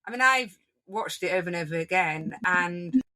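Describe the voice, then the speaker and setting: A woman's voice: on the low side, lively intonation, moderate pace, bright, forceful tone. A woman with a Yorkshire accent hosting a podcast interview, chatty and reflective, often restarting her sentences. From Yorkshire, England. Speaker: podcast host.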